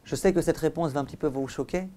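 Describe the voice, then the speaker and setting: A man's voice: on the high side, fast, dark tone, a little hiss or hum. A man speaking as a rabbi giving a religious lecture, emphatic and repetitive, addressing his listeners directly with rhetorical questions. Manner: emphatic, repeats phrases for effect. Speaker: rabbi giving a Torah lesson.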